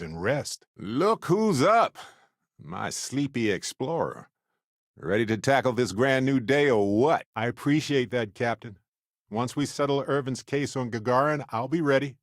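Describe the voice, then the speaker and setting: An actor playing a warm, wry, chatty space explorer companion in a video game, with an affable, teasing and theatrical delivery. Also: male voice, mid-range voice, lively intonation, normal speed, dry recording, studio quality, lightly acted. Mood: upbeat, urgent.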